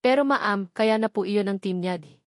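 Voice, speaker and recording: A woman's voice: medium pitch, normal speed, no echo, clean studio sound, lightly acted. A woman voicing an anxious, evasive young mother who answers politely and defensively in a romance story. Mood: nervous, deferential.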